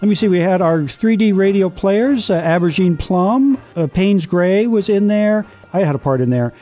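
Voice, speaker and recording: A man hosting a radio show, giving a halting, semi-scripted sign-off. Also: high-pitched, lively intonation, warm tone. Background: music.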